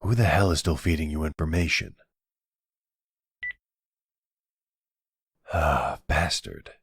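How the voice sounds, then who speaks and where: A man playing a protective mobster boyfriend in an ASMR roleplay audio drama, tender but dangerous, with a gravelly, husky voice. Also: a bass voice, animated, normal speed, a bit bright, full character voice. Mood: cold, menacing.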